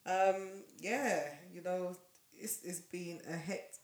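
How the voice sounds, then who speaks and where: Hoarse low-voiced woman podcaster. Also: takes it slowly, roomy sound. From Nigeria. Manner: laughs often, animated. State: losing her voice. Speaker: podcast co-host. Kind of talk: casual chat.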